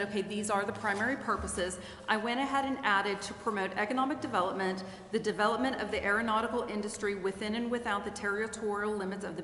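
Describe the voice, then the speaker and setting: A woman giving a careful, deliberate and formal explanation as a legal advisor at a public council meeting. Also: medium pitch, a bit bright. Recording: echoey hall, clear background noise.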